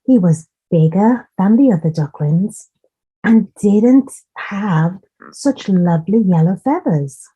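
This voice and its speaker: A woman storyteller reading a children's story in a deliberate, expressive manner, with asides and questions to young listeners. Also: medium pitch, slightly rough, muffled recording.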